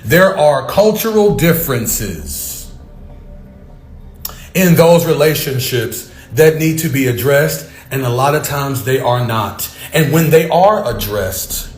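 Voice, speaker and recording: A man giving off-the-cuff opinion commentary, emphatic and halting, often repeating his phrases for effect. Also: medium pitch, expressive. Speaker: commentator on a talk channel. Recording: roomy, echoing sound.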